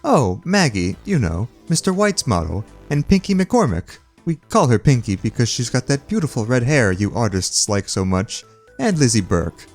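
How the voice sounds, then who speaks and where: A man's voice: mid-range voice, very animated, moderate pace, faint music behind, pitched above the performer's own voice. A man voicing a merry, chatty young woman who works as an artist's model. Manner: gushing chatter.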